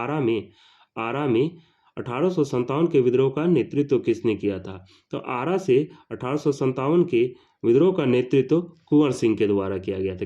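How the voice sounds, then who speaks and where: A man's voice: mid-range voice, dark tone, highs cut off. A male teacher, walking through exam questions and repeating each question and answer.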